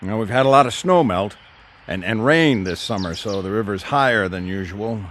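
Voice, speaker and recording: A man playing a low-voiced river guide. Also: normal speed, a bit bright, slight background noise, close to an everyday voice. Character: laid-back male river guide. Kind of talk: radio drama acting.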